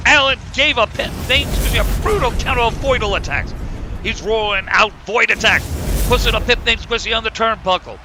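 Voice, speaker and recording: A man's voice: very high voice, fairly quick, noisy background. A man playing a play-by-play commentator narrating a cartoon wrestling match.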